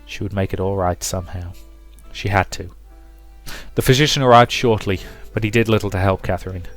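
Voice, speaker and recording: A man's voice: low-pitched, fairly quick, a bit bright. Man narrating a visual novel over music. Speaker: let's play host. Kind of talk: casual game commentary and dramatic read-aloud narration.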